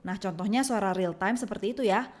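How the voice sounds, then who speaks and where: A woman with an Indonesian accent reading a scripted software tutorial, giving clear step-by-step instructions. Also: medium pitch, fast talker. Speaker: tutorial narrator.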